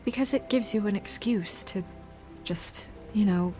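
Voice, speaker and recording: A woman's voice: mid-range voice, a little slow, warm tone, soft background music, close to an everyday voice. A woman voicing an earnest, businesslike office administrator in a video game, with naturalistic, hesitant phrasing. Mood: tentative, sincere. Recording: faint score under the voice.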